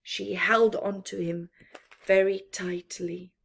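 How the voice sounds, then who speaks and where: A woman reading a picture book aloud to young children, slow and deliberate, with dramatic, hushed storytelling delivery. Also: mid-range voice, fairly even intonation, gravelly, breathy edge.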